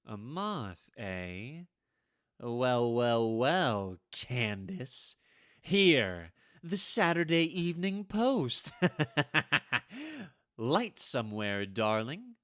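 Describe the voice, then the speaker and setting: Guy acting a jittery haunted husband in radio horror, mutters and stammers, pitch jumps all over, really dry close sound. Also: big pitch swings, extremely slow, a bit bright, dry recording, heavily acted. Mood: brooding muttering. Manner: muttering and stammering, theatrically agitated. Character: frantic, haunted husband armed against a witch, muttering to himself.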